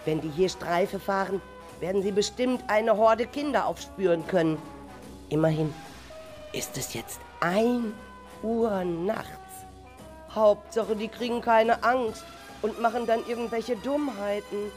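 A woman playing a worried, flustered primary school teacher in an amateur radio play, agitated and halting as she repeats her words. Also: on the high side, a little mellow. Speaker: teacher character.

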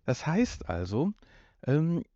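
Man, fifties, soft dark tone, rambling podcast host, jokey, dull home recording. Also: fairly high pitch, sing-song, slow pace, no echo. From North Rhine-Westphalia, Germany. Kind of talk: casual podcast chat. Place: room in a family home.

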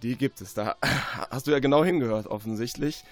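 A man speaking as an author in a radio interview, conversational and reflective, often pausing as he forms his sentences. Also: medium pitch, faint music behind.

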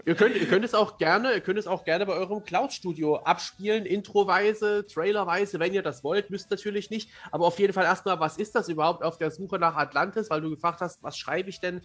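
A man's voice: high voice, a little fast, few pauses, a bit bright. A young man speaking as a podcast guest, telling things casually in a wordy, articulate and playful way. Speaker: podcast guest, fantasy author. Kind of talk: casual, talkative storytelling.

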